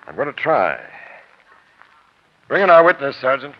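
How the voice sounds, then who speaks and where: An American man playing a brisk, shrewd police captain in 1940s radio drama, clipped and commanding. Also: mid-range voice, sing-song, lightly acted. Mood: stern. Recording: muffled, noisy old radio broadcast.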